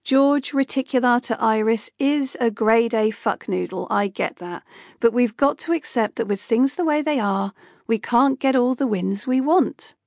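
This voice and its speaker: A flippant, sweary old sorcerer, read by a woman, tossing off blunt remarks with a dry, gravelly edge. He sounds unbothered and crude, never too serious. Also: fairly high pitch, a little slow, a little mellow, dry recording. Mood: offhand.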